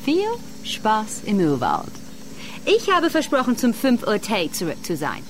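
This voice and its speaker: An actress playing a flirtatious, capricious, mocking celebrity singer in a radio drama. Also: female voice, medium pitch, wildly swooping pitch, measured pace, a little hiss or hum. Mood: coy, mocking. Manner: theatrical, mocking. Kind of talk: theatrical radio drama acting.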